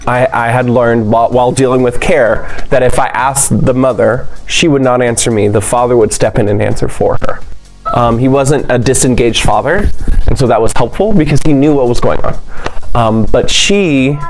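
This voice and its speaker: A man with a middle-pitched voice recalls his work in a measured, reflective way. Quiet music sits faintly behind him. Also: low-quality recording. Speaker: social worker. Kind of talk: interview answer.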